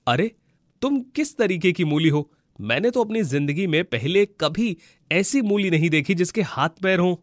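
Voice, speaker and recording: A man plays a good-hearted, henpecked villager from a moral tale. His voice sits a little high and sounds fretful and unsure. The recording is dry. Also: expressive, normal speed, no room sound. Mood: startled. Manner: fretful, bewildered. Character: worried, good-hearted male village farmer, henpecked husband. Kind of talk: children's moral story cartoon.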